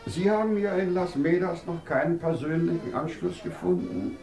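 An actor playing an urbane, teasing scientific director in a radio play, whimsical and courteous, with elaborately worded, theatrical speech. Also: male voice, fairly high pitch, moderate pace, gravelly, warm tone, faint music behind. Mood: suave, probing. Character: urbane, teasing male scientific director of a desert research station. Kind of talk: German radio play acting.

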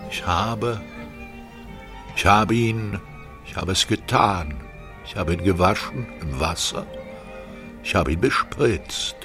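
An actor playing a brooding, rapturous artist in a stylized literary radio play, with fragmented, incantatory delivery. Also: male voice, deep voice, expressive, slow pace, pauses often, bright, forceful tone, faint music behind, heavily acted. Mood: feverish, halting. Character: brooding, rapturous male artist, stammering and urgent.

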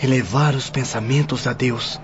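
A medium-pitched voice of a repentant young prisoner played by a man in a radio drama. He speaks with humble sorrow. The sound is muffled, with faint noise behind. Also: fairly even intonation, normal speed, lightly acted. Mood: remorseful. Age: young adult.

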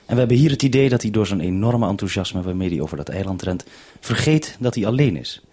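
Male 1970s radio host, Netherlandic Dutch accent. Dark, slightly husky voice, measured formal delivery, vintage broadcast sound. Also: on the low side, expressive. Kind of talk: scripted radio introduction and two-way radio link.

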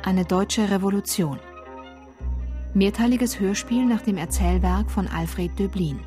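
A female announcer reads radio drama credits. Her voice is mid-pitched and mellow, and she takes her time with an orderly, measured delivery over faint music.

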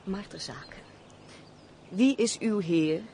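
A pert female castle servant for a radio play. Her delivery is highly animated and theatrical, chatty and easily affronted, with a sharp tongue. Faint noise and birdsong sit behind her. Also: slow pace. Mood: indignant, taunting. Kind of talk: Dutch radio play acting.

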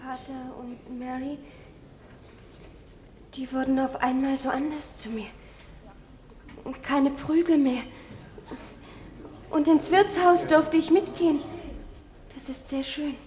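A woman playing a frightened, neglected young girl who testifies haltingly in an old German radio drama. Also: high-pitched, steady tone, darkish tone. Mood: wistful. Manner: halting, repeating herself. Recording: hissy 1950s radio drama recording.

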